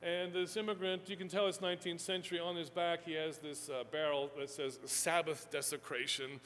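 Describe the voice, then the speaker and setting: A man lectures to a live audience, wandering through his points with plenty of fillers and self-deprecating asides. His voice sits a little high for a man. The sound is roomy with a long hall echo and a faint hum underneath. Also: level tone, a little fast, fairly bright tone. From Houston, Texas, USA. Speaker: seminary professor giving a talk. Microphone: fixed lectern mic.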